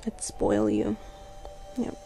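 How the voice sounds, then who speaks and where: Sleepy, slow-talking young woman, softly intimate. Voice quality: soft, sleepy, often dropping into breathy whisper. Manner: drowsy, affectionate, unhurried murmuring with giggles. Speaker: ASMR roleplay performer as a girlfriend. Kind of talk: ASMR girlfriend roleplay, casual intimate chat.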